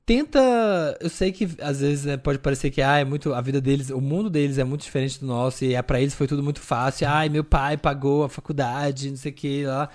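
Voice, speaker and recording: A man, co-hosting a podcast in animated, chatty conversation full of fillers. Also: a little high. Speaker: podcast co-host. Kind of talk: casual chat.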